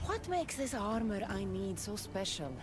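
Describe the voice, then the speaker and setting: A woman's voice: medium pitch, big pitch swings, unhurried, music in the background. An actress voicing a determined, wry mortal warrior woman of ancient Greece in a video game, speaking in a dry, resolute way. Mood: questioning.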